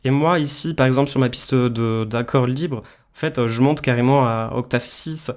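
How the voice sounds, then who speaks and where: Guy doing a casual plugin tutorial, medium-pitched voice, walks you through it step by step. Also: moderate pace. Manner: informal, explains step by step. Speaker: tutorial presenter, music producer. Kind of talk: casual screen-share software tutorial.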